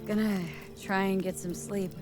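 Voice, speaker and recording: A woman's voice: medium pitch, level tone, normal speed, natural voice. An actress playing a jaded, sardonic woman mercenary in a video game, dry and clipped. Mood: dry, tired. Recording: loud game music over voice. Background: loud music.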